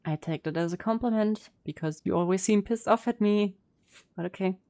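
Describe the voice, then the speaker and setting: A woman's voice: medium pitch, a little fast, mellow. A woman streaming a game with casual, playful, teasing live reaction commentary. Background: game audio and music.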